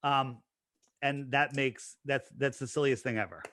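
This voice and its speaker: A man's voice: medium pitch, steady tone, a little slow, a bit bright. A man being interviewed in a casual conversation, talking emphatically and piling up rhetorical questions. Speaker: interview guest.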